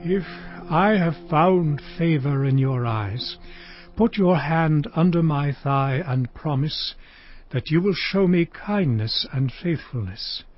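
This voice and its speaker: Slow, weary old patriarch. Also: male voice, on the high side, expressive, soft background music. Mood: solemn pleading. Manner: slow, solemn, halting. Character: aged, weary Hebrew patriarch near death. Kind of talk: dramatized audio Bible acting. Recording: dull lo-fi audio.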